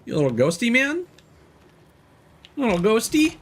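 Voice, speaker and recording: A man's voice: very high voice, huge pitch swings, unhurried, slight background noise. A man streaming a game, giving loose, rambling commentary as he thinks aloud and teases the characters on screen. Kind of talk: casual let's-play commentary.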